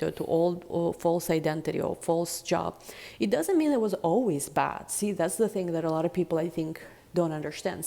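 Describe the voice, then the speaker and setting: A woman giving a casual tarot reading in a deep, chesty voice, rambling and reflective with many fillers. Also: creaky, warm tone. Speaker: tarot reader.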